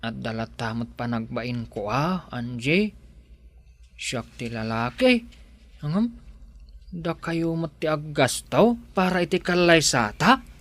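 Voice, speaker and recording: Man acting a slow, humble young sweetheart. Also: fairly high pitch, fairly bright tone. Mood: bashful, grateful. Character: humble, bashful young man in love, from a poor family. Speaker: actor in an audio drama. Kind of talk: romantic Ilocano radio drama acting.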